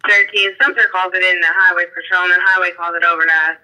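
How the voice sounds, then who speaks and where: A man's voice: sits high, little pitch movement, quick pace, bright, forceful tone, lo-fi sound. A man working as an emergency dispatcher, speaking procedurally over a police scanner radio and reading numbers out digit by digit.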